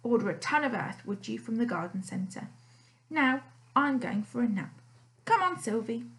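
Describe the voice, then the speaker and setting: A woman's voice: a little high, lively intonation, moderate pace, fairly bright tone. A woman voicing a sly, bullying male fox who growls and snaps out smug threats in a storybook. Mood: defiant.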